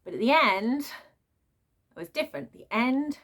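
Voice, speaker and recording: A woman vlogger talking off the cuff in an emphatic, expressive, informal way, like a book reviewer ranting to viewers. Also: on the high side, a little slow. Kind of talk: unscripted opinionated rant to viewers.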